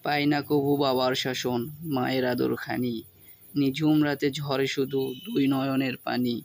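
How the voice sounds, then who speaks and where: A man playing a helpful village letter carrier in a cartoon, with a measured, sentimental delivery. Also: medium pitch, flat delivery, close to an everyday voice. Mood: mournful. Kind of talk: cartoon dub, verse recitation.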